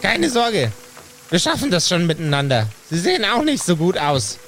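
A man's voice: sits high, sing-song, normal speed, fairly bright tone, slight background noise, heavily acted. A man voicing a blunt, bossy satyr brute, cynical and menacing, in an improvised fantasy audio play. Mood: brusque.

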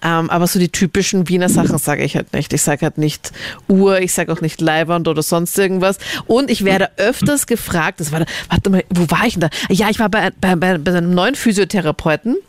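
A woman's voice: fairly low pitch, brisk, no echo. A woman from Linz, Austria, co-hosting a radio call-in show and chatting animatedly. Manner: chatty, animated storytelling with laughs. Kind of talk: casual radio chat. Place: radio studio. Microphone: studio microphone.

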